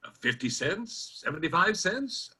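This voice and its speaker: Man playing a raspy, folksy old-time storekeeper. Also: sits high, very animated, unhurried, pushed and bright, heavily acted. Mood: curious, eager. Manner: folksy, puzzled, plain-spoken country talk. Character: old-time West Virginia general store proprietor from the 1800s, folksy, bewildered. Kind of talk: old-time radio drama acting.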